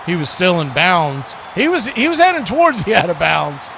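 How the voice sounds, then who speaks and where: Guy calling a football game live, high voice, noisy background. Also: wildly swooping pitch, moderate pace, bright, forceful tone, some chatter behind. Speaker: play-by-play announcer. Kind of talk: live football play-by-play commentary. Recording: clear background noise.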